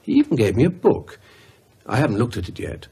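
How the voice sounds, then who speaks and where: An actor playing a weary, sceptical professor in a radio drama, dry and sardonic in manner. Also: male voice, medium pitch, big pitch swings, brisk, dark tone, slightly rough. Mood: wry, resigned. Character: weary, sceptical male academic, dry and exasperated.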